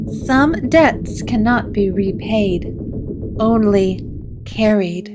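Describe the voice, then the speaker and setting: Woman playing a slow, hushed, dreamy gothic heroine. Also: medium pitch, over music, pitched above the performer's own voice. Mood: quietly assured. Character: quiet, assured, dreamy woman of gothic occult shop. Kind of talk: gothic audio drama acting.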